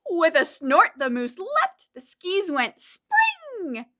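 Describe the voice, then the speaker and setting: Lady doing kids storytime, really high voice, slow and sing-songy, super expressive. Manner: expressive, dramatic, with gasps and questions to children. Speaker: children's library storytime host. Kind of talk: animated picture-book reading and rhymes for young children.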